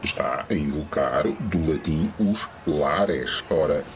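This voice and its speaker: A man's voice: extremely low pitch, animated, takes it slowly, a little hiss or hum, exaggerated voice. A man playing a jovial, musing party guest who holds forth expansively in a radio soap opera. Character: jovial rambling male party guest fond of kitchens.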